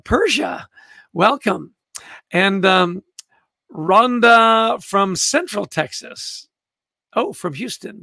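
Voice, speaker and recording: An older man from Canada hosting a casual live book club, chatting warmly about favorite books and greeting viewers by name. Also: high-pitched, creaky, dry recording, clean studio sound. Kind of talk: casual livestream book chat.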